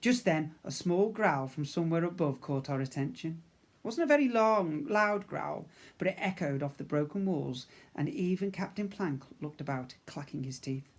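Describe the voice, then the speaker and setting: A man reading a children's story aloud in an expressive narrating style, as a teacher would for young pupils. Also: a little high, moderate pace.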